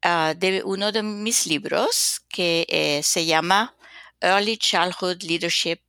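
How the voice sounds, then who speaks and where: Slow, gravelly older woman. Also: lowish voice, pushed and bright, no echo. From North Africa, then Spain. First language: French. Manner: slow, thoughtful, with many hesitations. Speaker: guest author and consultant. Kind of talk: podcast interview.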